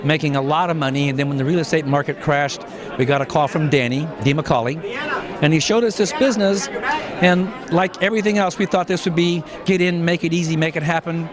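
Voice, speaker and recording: A man tells a relaxed testimonial story with light jokes. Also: a little high, fairly quick, a crowd in the background.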